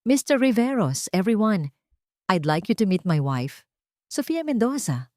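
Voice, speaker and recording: A woman's voice: mid-range voice, sing-song, unhurried, a little mellow, dry recording, clean studio sound, voice pushed up. A woman voicing an anxious, cornered cheating husband in a romance story, strained and nervous. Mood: forced, nervous. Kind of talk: storybook romance drama dialogue.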